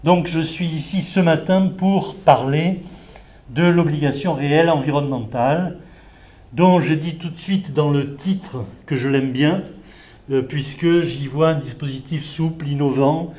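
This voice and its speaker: A man's voice: medium pitch, a little mellow. Middle-aged guy from the south of france giving a talk through a face mask, bit muffled, lots of umms and restarts. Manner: hesitant, many filler sounds and restarts. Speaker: invited speaker, environmental law expert. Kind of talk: conference talk to a live audience. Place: indoor venue with an audience.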